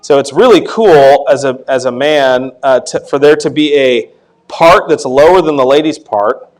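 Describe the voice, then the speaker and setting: A man with a tenor voice, not deep, teaching a casual Sunday school class on singing, talking haltingly with many restarts. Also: rough recording. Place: church classroom.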